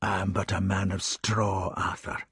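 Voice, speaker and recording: A man's voice: low-pitched, little pitch movement, moderate pace, fairly bright tone, breathy edge, no room sound, clean studio sound, full character voice. A man voicing a wounded, tearful Scottish knight who speaks in strained, despairing tones. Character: wounded, weeping burly male Scottish knight.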